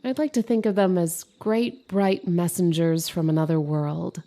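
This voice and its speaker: A woman's voice: on the low side, fairly even intonation, moderate pace, warm tone, close to an everyday voice. A woman playing an anxious, dreamy wife who frightens easily, speaking hesitantly and dramatically in an old-time radio horror drama. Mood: awed.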